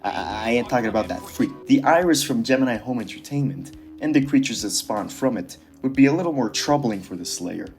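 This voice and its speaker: A man's voice: mid-range voice, lively intonation, fairly quick, few pauses, darkish tone, soft background music. A man narrating a casual scripted commentary in a sardonic, dismissive, casually profane way. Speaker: video creator narrating a commentary.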